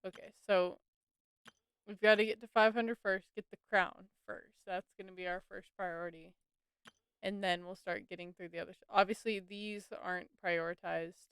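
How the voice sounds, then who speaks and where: A woman's voice: medium pitch, fairly even intonation, moderate pace, a bit bright, smooth, no room sound. A woman chatting casually and enthusiastically as she streams a game. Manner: gushing, enthusiastic. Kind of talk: casual livestream gaming chat. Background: game music.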